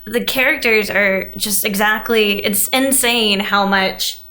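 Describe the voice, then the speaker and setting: A woman's voice: medium pitch, takes it slowly, pushed and bright. A woman on a podcast panel, chatting casually as she reviews a movie. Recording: echoey, roomy sound.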